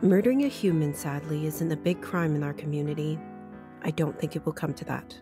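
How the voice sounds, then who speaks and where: A woman playing a composed, protective vampire mentor in a video game, measured and matter-of-fact. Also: low-pitched, natural voice. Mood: calm, confiding. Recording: music behind the voice.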